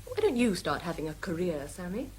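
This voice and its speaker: An actress playing a caring but tart-tongued secretary, intimate and teasing in a radio drama. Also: female voice, lowish voice, wildly swooping pitch, a little fast, a little mellow, slightly rough, full character voice. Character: wry, caring young female secretary.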